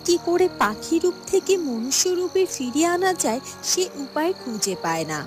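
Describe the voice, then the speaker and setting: High, lively woman storyteller. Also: moderate pace, over music. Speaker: narrator. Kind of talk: scripted fairy-tale cartoon narration.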